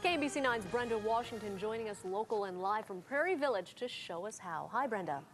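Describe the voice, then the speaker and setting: A woman anchoring a studio TV newscast, reading brisk intros and chatting with her co-anchor. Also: medium pitch, lively intonation, faint music behind. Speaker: news anchor. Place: TV news studio.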